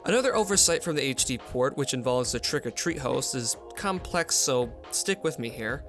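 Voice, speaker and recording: A man presenting a software update video in scripted explainer narration. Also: medium pitch, lively intonation, normal speed. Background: music.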